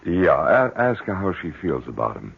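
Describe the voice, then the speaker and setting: A low, gravelly middle-aged father in an old radio play, fretting and pressing his point. He halts and repeats words, his pitch swinging about, on a thin, phone-like recording. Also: sing-song, exaggerated voice. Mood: concerned, hesitant. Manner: halting, repeats and stumbles over words. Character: worried, fretful middle-aged father, insistent and blunt. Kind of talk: 1970s radio drama acting. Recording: thin, band-limited 1970s radio recording.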